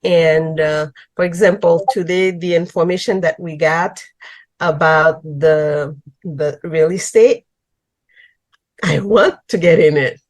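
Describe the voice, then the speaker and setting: An older woman from New York calling into a video chat, speaking slowly and gently and searching for words. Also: sits low, no room sound. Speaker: caller. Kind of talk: casual video call chat.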